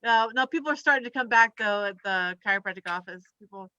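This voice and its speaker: A woman's voice: medium pitch, animated, quick pace, bright, forceful tone, no echo. A woman chatting casually as a guest on a video call. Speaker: guest, chiropractor. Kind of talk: casual chat. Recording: dull, lo-fi call audio.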